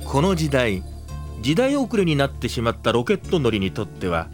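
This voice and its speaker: A man's voice: medium pitch, huge pitch swings, normal speed, soft background music. A man narrating a sci-fi comedy audio drama as a droll, grandiose storyteller with declamatory phrasing. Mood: mock-grand.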